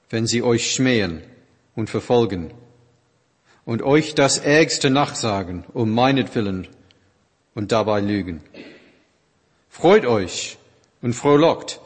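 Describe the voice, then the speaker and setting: A man reads scripture slowly and deliberately, each phrase solemn and measured. His voice has a husky edge. A long hall reverb lingers behind every line. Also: medium pitch, lively intonation. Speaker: scripture reader. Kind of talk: solemn Bible reading.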